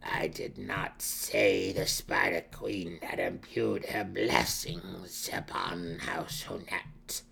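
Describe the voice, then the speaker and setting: A man voicing a withered old female dark elf matriarch, sly and imperious, in a measured, mysterious fantasy audiobook delivery. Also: deep voice, steady tone, creaky, husky, heavily acted. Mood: stern, cross.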